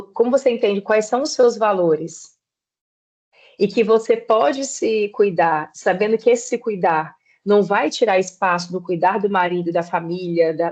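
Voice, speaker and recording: A woman in her thirties speaks as a podcast guest at a mid pitch, explaining things in a measured, steady way. The sound is somewhat dull and lo-fi. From Espírito Santo, Brazil. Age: 30s. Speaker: guest, personal trainer. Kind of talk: podcast interview.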